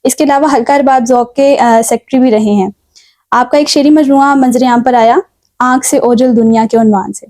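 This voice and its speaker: Mellow, higher-pitched Pakistani woman host. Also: steady tone, no echo, clean studio sound. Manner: polite, appreciative, deferential. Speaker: talk show host. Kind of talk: hosting a literary talk show.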